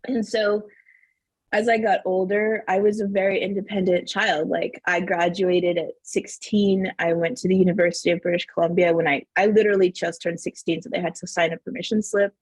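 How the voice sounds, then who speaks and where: A woman from Southern New Mexico whose first language is Spanish, telling family stories as a podcast guest in an animated way, laughing often and saying "like" a lot. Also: lowish voice, a little fast, slightly rough, no echo, rough recording. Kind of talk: casual interview storytelling.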